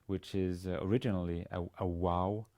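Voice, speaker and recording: A man in his forties, a native Danish speaker with a Danish accent, giving an instructional lecture in a halting, hesitant manner with repeated words. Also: low-pitched, fairly even intonation, darkish tone. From Denmark. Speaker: university language teacher. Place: indoor studio with plain grey backdrop.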